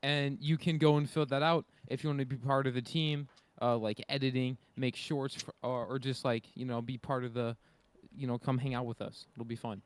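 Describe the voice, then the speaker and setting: A man's voice: medium pitch, steady tone, moderate pace. A man co-hosting a podcast, talking casually to listeners and friends. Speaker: podcast co-host. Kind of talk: casual group podcast chat.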